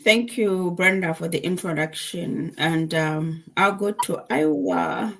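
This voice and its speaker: Low-voiced hesitant woman from Botswana. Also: expressive, gravelly, a bit bright. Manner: hurried, halting with many fillers. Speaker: host, digital business mentor. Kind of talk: live-stream chat.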